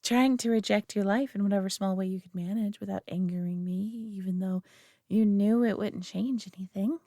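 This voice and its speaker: A woman voicing a possessive, doting alien who coos over her pet human in an intimate roleplay audio drama, coddling and softly condescending. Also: mid-range voice, steady tone, normal speed, no room sound, studio quality, close to an everyday voice. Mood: soothing, possessive.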